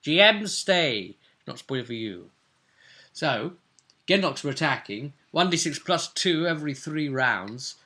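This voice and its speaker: A man hosting a game recap show as game master, chatting in an excitable, theatrical and playful way. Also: a little high, a little slow. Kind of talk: animated chat recapping a tabletop role-playing game.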